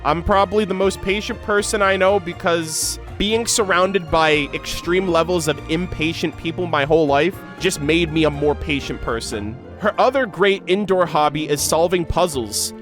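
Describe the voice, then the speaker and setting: A man's voice: on the high side, a bit bright. A man reading game lore aloud and breaking into casual, slangy commentary as a video creator. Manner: informal slangy asides between measured reading.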